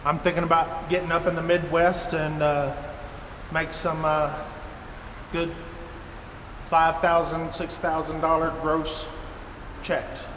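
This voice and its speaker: A man's voice: a little high, level tone, takes it slowly, pauses often, fairly bright tone. Echoey American man, rambling casual vlog. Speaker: trucker and video blogger. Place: inside an empty truck trailer, door open. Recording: echoey metal trailer, clear background noise.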